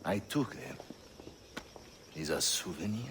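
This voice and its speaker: An actor playing a smug, taunting assassin hunter with a deliberate delivery, in a video game. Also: male voice, low voice, animated, heavy background noise. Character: menacing, smug French male assassin hunter. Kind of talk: dramatic video game acting. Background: clear background music.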